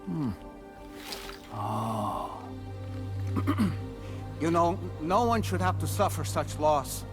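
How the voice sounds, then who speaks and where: A man's voice: a little high, very animated, very slow, over music, full character voice. A man acting a living puppet in a video game, once a spirit medium, courteous, formal and easily indignant, speaking theatrically. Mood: regretful, gentle.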